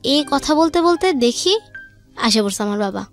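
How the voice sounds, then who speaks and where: Young female guest, high voice, Bangladeshi accent, informal personal storytelling over faint music. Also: expressive, moderate pace. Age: young adult. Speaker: guest telling her life story.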